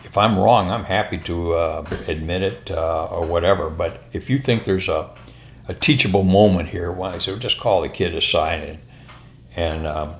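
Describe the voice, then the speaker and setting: An older American man, a former pilot, telling anecdotes in a casual, unhurried, rambling conversational way. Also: low voice, vocal fry, a little hiss or hum. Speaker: retired military and airline pilot telling stories. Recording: roomy, echoing room sound.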